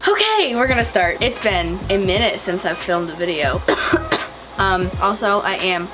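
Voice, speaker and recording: Raspy, slightly sick young woman, chatty makeup vlogger, music underneath. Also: mid-range voice, lively intonation, normal speed. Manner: chatty, rambling, giggly asides. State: slightly hoarse from a cold. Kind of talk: casual makeup tutorial chat. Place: small home studio with paper backdrop.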